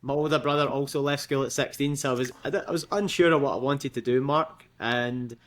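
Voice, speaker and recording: A man's voice: medium pitch, steady tone, a little fast. Chatty young Scottish man. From Scotland. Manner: chatty, laughs often, many fillers. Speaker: guest. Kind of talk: casual interview.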